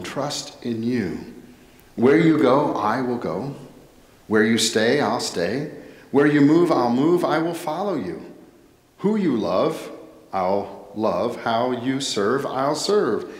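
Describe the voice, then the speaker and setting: A middle-aged American man preaching a sermon as a pastor, earnest and deliberate in his phrasing. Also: medium pitch. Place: indoor church, at a pulpit. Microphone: headset microphone. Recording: echoey church hall with long reverb.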